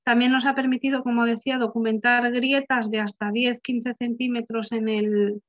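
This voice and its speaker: Halting young woman presenting slides. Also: on the high side, level tone. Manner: halting, many restarts and filler sounds. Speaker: researcher presenting at a conference. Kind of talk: online conference talk over slides. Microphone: webcam video call. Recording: slightly muffled video-call audio.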